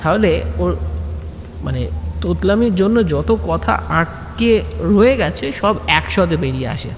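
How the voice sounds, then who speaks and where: A man narrates a comic audio story as if chatting to friends. His voice is high and he takes his time. It carries long reverb over faint noise. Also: expressive, a little slow. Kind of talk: conversational first-person storytelling.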